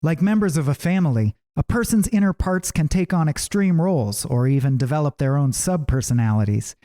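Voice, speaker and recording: A man reading his own audiobook as scripted narration, in a measured, deliberate way with pauses. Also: a little high, expressive, mellow.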